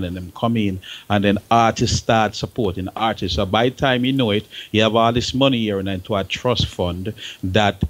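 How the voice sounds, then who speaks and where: Low-voiced man in casual discussion. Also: a bit bright. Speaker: panel member on a music business talk show.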